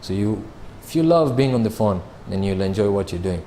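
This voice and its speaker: A man, answering interview questions about his sales job in a measured way, with frequent fillers and restarts. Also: lowish voice, animated, dark tone, slight background noise. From Kenya. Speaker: sales manager.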